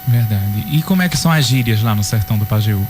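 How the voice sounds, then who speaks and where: A man's voice: fairly low pitch, lively intonation, fast. A young man with a Pernambuco interior accent, a journalism student, hosting a radio show in a cheerful, chatty way. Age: young adult. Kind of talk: radio presenting. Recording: radio with loud music bed.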